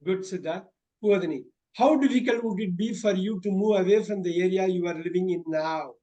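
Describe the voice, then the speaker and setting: A man with a high voice and an Indian English accent runs a mock speaking exam for his students. He coaches them through each question and keeps repeating his praise. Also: a little fast, warm tone, lo-fi sound. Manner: encouraging, repeats praise often.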